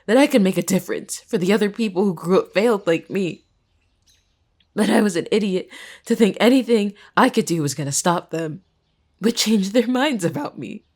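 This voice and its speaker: A woman playing a determined, embittered young student, sharp-tongued and sarcastic, in a dramatic audio roleplay. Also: sits low. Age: young adult. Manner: sarcastic, emphatic, swearing.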